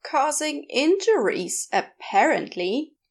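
A woman's voice: sits high, big pitch swings, takes it slowly, creaky, pitched above the performer's own voice, heavily acted. A woman voicing a wry nonbinary shop neighbour who is dry, teasing and pointed, in a narrated story.

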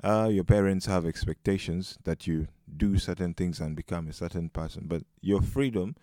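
Deep, mellow Ugandan man. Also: moderate pace, no echo, clean studio sound. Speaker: podcast guest. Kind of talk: reflective discussion, rhetorical questions.

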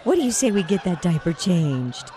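A woman's voice: lowish voice, very animated, moderate pace, mellow, some chatter behind. A woman playing a young babysitter who coos at a baby in sweet baby talk. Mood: cooing. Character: cooing young female babysitter.

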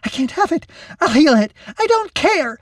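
A man narrator voicing an injured young woman, sobbing and wailing in despair, in a high put-on voice. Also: animated, slow, sharp tone, no room sound, studio quality, exaggerated voice. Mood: screaming.

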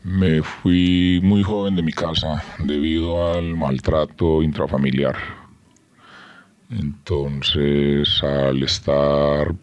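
A man's voice: rumbling low, flat delivery, very slow. A man with a Colombian accent, answering interview questions in short, matter-of-fact statements. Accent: Colombian Spanish.

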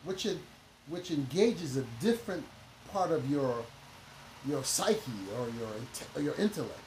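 A man's voice: a little high, animated, unhurried, a little mellow. A man, a minister and educator, talking as a podcast guest in an emphatic, preachy way, full of "you know" fillers. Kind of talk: conversational podcast interview. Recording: echoey room with background noise.

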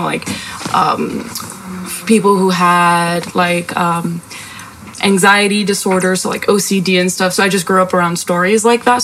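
A woman's voice: fairly low pitch, a bit bright, a little hiss or hum, low-quality recording. A woman in her twenties from Spain with an American accent, a podcast guest talking in a hesitant way with lots of fillers. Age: 20s. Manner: hesitant, filler-heavy, laughs easily. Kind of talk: casual podcast chat.

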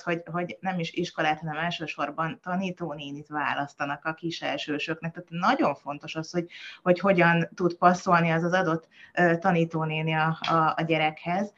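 A woman's voice: lowish voice, a bit bright, highs cut off. A woman child psychologist, a podcast guest, explaining things in a hesitant way with frequent filler sounds. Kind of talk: conversational interview.

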